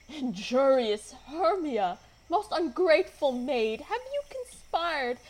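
Wounded young heroine for Shakespeare radio drama, played by a woman: highish voice, slow deliberate verse, indignant and theatrical. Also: rough recording. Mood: indignant, accusing. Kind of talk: amateur Shakespeare radio play acting.

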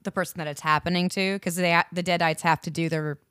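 A woman's voice: on the low side, fairly even intonation, fast, a bit bright, clear, resonant tone, no echo, studio quality. A woman co-hosting a casual podcast, playful and swearing casually. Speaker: podcast co-host.

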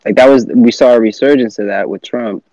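A man's voice: medium pitch, fairly quick, dark tone, no echo. A man in his twenties chatting casually about politics in an opinionated, slangy way, recorded on dull lo-fi audio. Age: 20s. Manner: opinionated, informal, slangy with profanity.

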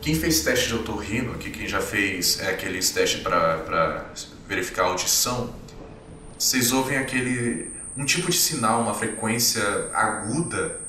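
Lowish bright-voiced man in an echoey room. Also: animated, normal speed, distant mic, slight background noise. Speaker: game master. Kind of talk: narrating a tabletop game and voicing a vampire.

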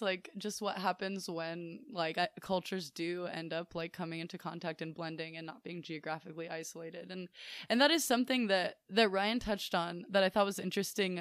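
A woman's voice: on the low side, moderate pace, clean tone, no echo. A young woman on a casual podcast, her mid-range voice recorded dry and close. She thinks aloud, piling up fillers and restarts. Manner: thoughtful, rambling with restarts and fillers.

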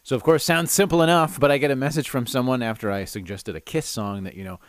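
A middle-aged man hosts a podcast on his own. He has a mid-pitched voice and an animated, chatty delivery, as if amused by his own anecdotes and rants. The sound is close and dry, with very little room. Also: quick pace, no echo. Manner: animated, self-amused, chatty, laughs through stories. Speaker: podcast host. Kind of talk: casual solo storytelling and ranting.

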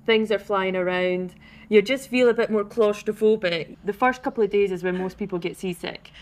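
A young adult woman with a Scottish accent, a podcast guest explaining at length in casual, animated chat. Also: medium pitch, normal speed. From Scotland.

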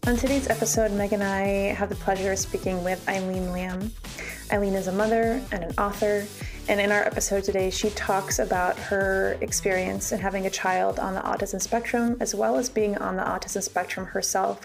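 A woman's voice: medium pitch, creaky, a little mellow. A woman hosting a podcast, reading an upbeat introduction and leading an interview. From central Massachusetts. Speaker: podcast host.

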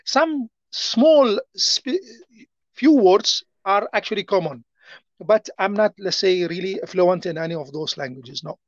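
A man with an Arabic accent answering interview questions in a halting way, full of fillers and restarts. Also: high voice, lively intonation, no room sound, lo-fi sound. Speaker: interview guest.

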